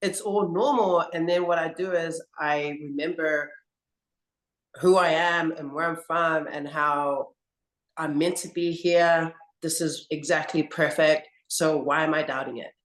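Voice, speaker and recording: A woman rugby coach answering interview questions in a slow, earnest, reflective way. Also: low voice, fairly even intonation. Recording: roomy echo.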